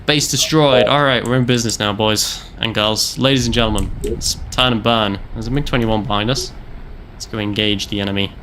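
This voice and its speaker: Young man, game streamer, bright tense voice, joking rambling chatter, faint background hiss. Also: fairly low pitch, fairly even intonation, brisk. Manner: joking, rambling, lots of fillers. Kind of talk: casual commentary while playing.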